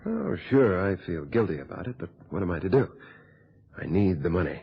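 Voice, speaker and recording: An actor playing a brisk, unapologetic, opportunistic newspaper reporter in an old radio drama. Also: male voice, deep voice, big pitch swings, creaky, husky, heavily acted. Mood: defensive. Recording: dull, lo-fi old radio recording.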